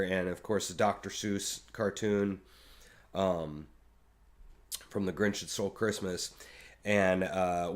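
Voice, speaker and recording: A man with a low voice giving rambling, casual commentary on music, with many fillers and restarts. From Kansas City area. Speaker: YouTube reaction host, musician.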